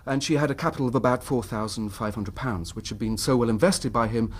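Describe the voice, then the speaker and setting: A man's voice: medium pitch, fast, natural voice. An actor playing an earnest, troubled middle-class English husband telling his story in formal period language for a radio drama.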